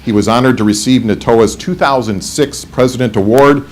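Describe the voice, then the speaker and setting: A man's voice: medium pitch, normal speed, a little mellow. A man moderating a conference panel, reading out speaker introductions with some fillers. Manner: formal introductions with frequent fillers. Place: conference room.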